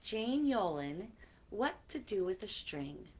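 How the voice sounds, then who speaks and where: A woman's voice: mid-range voice, very animated, takes it slowly, a little mellow. A woman hosting a children's library story time, reading picture books aloud expressively with chatty asides, on a noisy live-stream recording.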